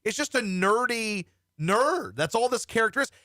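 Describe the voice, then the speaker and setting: Bright-voiced, blunt male talk host. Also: very high voice, expressive, normal speed, no echo, clean studio sound. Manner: blunt, profane, rambling. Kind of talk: opinionated show banter.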